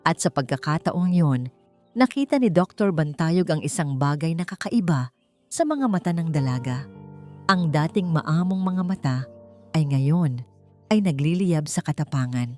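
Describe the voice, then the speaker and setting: A woman's voice: deep voice, animated, measured pace, dark tone. A woman with a Filipino accent reading a dramatic scripted story as an audiobook narrator. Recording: music bed under the voice. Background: background music.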